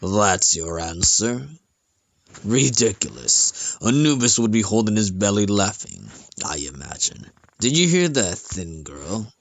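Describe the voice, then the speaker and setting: A man's voice: lowish voice, very animated, measured pace, vocal fry, voice pushed down, heavily acted. A man voicing an arrogant, pompous pharaoh king in a fantasy game story. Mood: scornful, amused. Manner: pompous, imperious. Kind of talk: acted fantasy game cutscene reading. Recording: music behind the voice.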